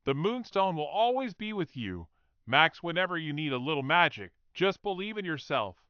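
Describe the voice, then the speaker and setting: Tiny winged sprite for a children's storybook, played by a man: high, lilting, cheerful and encouraging. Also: very animated, moderate pace, sharp tone, smooth, dry recording, muffled recording. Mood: reassuring.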